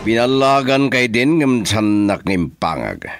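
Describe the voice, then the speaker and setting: A man with an Ilocano accent playing a shrewd businessman boss in a radio drama, with a drawn-out, swooping delivery. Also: mid-range voice, very animated, no room sound, clean studio sound, soft background music. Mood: brooding, scheming.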